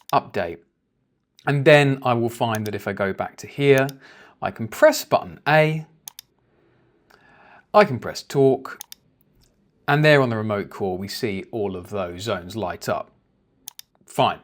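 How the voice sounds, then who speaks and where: A man working as a software trainer, explaining each step informally in a conversational screen-share tutorial. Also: mid-range voice.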